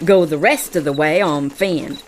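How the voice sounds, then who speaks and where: An actress playing a bold, wry frontier scout with a folksy drawl in a western audio drama. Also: female voice, sits low, moderate pace, lightly acted, poor audio. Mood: confident.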